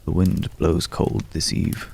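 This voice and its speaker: ASMR fantasy roleplay: man as courtly, world-weary old man, heavy vocal fry, unhurried and intimate, long pauses, faint background noise. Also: low-pitched, level tone, darkish tone, exaggerated voice. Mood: courtly, tender. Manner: measured, archaic, formal phrasing with long pauses. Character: world-weary old male companion of a vampire hunter, courtly, wistful.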